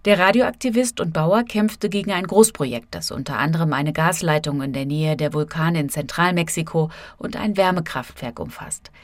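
A woman's voice: low voice, moderate pace, few pauses, no room sound. A woman reading a scripted radio feature as a correspondent, narrating and voicing translations of quotes.

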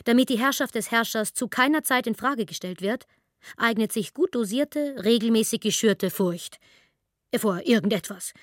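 A woman's voice: a little high, moderate pace, dry recording, close to an everyday voice. A woman playing a sardonic lecturer in a radio play, matter-of-fact and drily ironic. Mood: dry, ironic. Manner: matter-of-fact, dry irony. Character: sardonic female lecturer on rule and power.

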